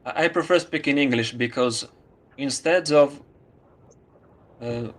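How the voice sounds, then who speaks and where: A man from Italy talks in English, not his first language. He speaks slowly, feeling for each word with plenty of pauses and restarts. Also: medium pitch. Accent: Italian. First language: Italian. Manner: halting, many fillers and restarts. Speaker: student. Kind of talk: casual conversation.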